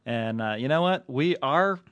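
A man hosting a casual, joking TV podcast, animated and loud, swinging between shouting and mumbling. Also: medium pitch, unhurried, no room sound. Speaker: podcast host, TV critic. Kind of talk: casual comedic podcast banter.

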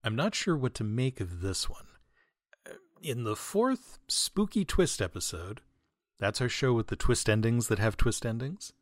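A man hosting a comedy podcast in a wry monologue, deadpan and mock-grandiose, with florid, wordy phrasing. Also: mid-range voice, unhurried, no room sound, studio quality. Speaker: podcast host, writer and audio editor. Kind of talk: wry comedic monologue, answering listener mail.

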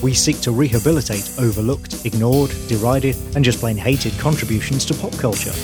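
A man hosting a podcast, reading a brisk scripted intro and then interviewing his guest. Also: mid-range voice. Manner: brisk, articulate presenter. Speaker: podcast host. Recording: breathy voice over faint guitar music.

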